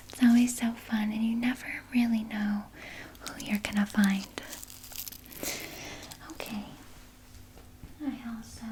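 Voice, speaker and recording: Woman acting a slow, whispering vampire nurse. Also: a little high, poor audio. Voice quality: breathy, soft whisper. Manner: slow, coaxing, falsely reassuring, close personal address. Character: sinister, soothing female vampire nurse tending her captive patient. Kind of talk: whispered ASMR personal attention roleplay.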